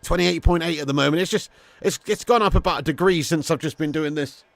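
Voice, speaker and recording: Young English male streamer, casual and sweary, a touch high-pitched, no room sound. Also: quick pace, gravelly, no room sound. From England. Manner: chatty, swears casually, greets viewers by name. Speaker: host. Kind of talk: live football watch-along chat.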